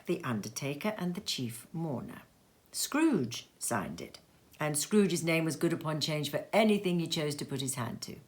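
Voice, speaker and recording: A middle-aged woman reading a classic novel aloud at home in an expressive, theatrical way with playful asides. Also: sits low. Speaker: reader. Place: cozy sitting room at home. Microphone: seated in a chair facing the camera.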